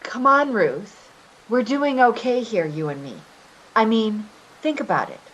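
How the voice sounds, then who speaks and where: A woman playing an evasive, manipulative caregiver in a readers theater drama, halting and repeating her words. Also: a little high, expressive, a bit bright, slightly rough, highs cut off, full character voice. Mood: coaxing, nervous. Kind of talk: readers theater stage acting.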